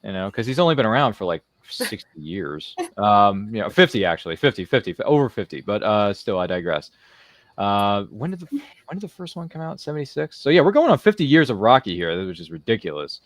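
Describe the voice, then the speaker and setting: A man's voice: fairly low pitch, very animated, brisk, no echo. Chatty man hosting, close dry sound. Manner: chatty and rambling, slipping into quotes and little impressions. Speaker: show host. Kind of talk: casual interview chat.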